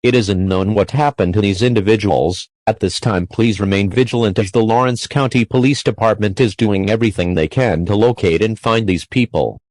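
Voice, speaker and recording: A man reads a scripted emergency broadcast announcement in a formal, measured manner, as an alert announcer. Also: on the low side, a little mellow, dry recording.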